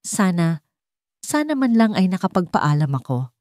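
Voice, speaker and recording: Woman playing wealthy father, Filipino accent, firm yet wistful, measured, audio story, close dry sound. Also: fairly low pitch, very animated, darkish tone, dry recording. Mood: subdued, wistful. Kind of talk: audio story character dialogue.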